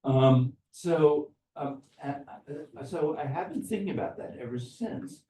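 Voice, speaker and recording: Older man, mellow voice, roomy distant recording. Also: on the high side, animated. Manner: rambling, halting, repeating and restarting phrases often. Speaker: academic philosopher and speaker at a conference. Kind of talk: informal closing remarks to a gathering. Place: echoey room at a conference. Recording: roomy, distant microphone, faint background noise.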